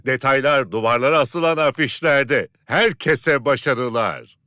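A man's voice: mid-range voice, expressive, unhurried, pushed and bright. A man voicing a cartoon school announcer who addresses students in a formal, proclaiming way.

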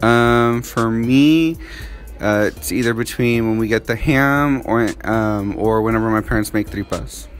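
A young male teacher answering hallway interview questions in a relaxed, hesitant way. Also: medium pitch, unhurried, faint music behind. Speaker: Spanish teacher. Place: school hallway, indoors. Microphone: handheld phone held by interviewer.

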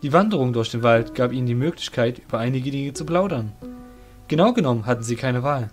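Guy narrating a fantasy audio play, middle pitched voice, guitar music behind. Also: lively intonation, fairly quick.

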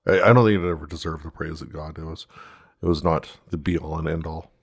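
A male podcast co-host with a deep voice. He talks casually, as in a relaxed conversation among fellow hosts. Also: fast talker, breathy edge, no room sound. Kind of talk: casual chat.

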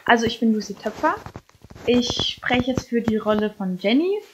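A woman's voice: a little high, animated, normal speed, crowd noise, rough recording. A young woman introducing herself casually at a voice audition.

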